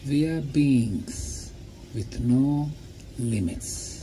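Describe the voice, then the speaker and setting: A man addresses listeners in a public talk, with a mellow, soft-edged tone. He speaks slowly and deliberately, setting out short emphatic phrases with pauses between them. Some background noise runs under his voice. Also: mid-range voice. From Bosnia. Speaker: lecturer. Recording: clear steady background noise.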